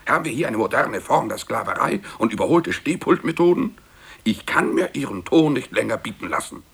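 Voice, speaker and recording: A man's voice: mid-range voice, sing-song, brisk, gravelly, fairly bright tone, breathy edge, heavily acted. A man acting a naive, well-meaning young office colleague in a 1950s German radio play, earnest and a little hesitant. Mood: mock indignant. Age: young adult.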